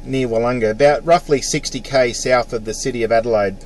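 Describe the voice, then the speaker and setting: A man's voice: medium pitch, brisk, rough recording. Middle-aged Australian male radio hobbyist, casual matey on-air chat, faint background noise. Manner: mate-peppered, spells call signs phonetically. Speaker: amateur radio operator.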